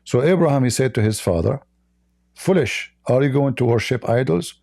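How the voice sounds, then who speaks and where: A man's voice: medium pitch, expressive, normal speed, creaky, mellow, no room sound, clean studio sound. A man whose first language is Arabic, hosting a live call-in debate in a combative, mocking way. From Middle East. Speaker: host.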